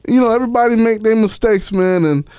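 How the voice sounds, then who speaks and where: A man's voice: sits high, fast, darkish tone, clear, resonant tone, dry recording, clean studio sound. A man telling personal stories casually as an interview guest, slangy and unfiltered, swearing freely. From Miami, Florida. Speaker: interview guest, author and stage play director.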